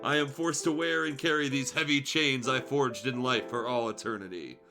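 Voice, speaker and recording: A man's voice: medium pitch, fairly even intonation, normal speed, gravelly, lightly acted. A man voicing a tormented male ghost in chains, solemn and moaning, in a dramatic fan fiction read-aloud with music behind. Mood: mournful moaning.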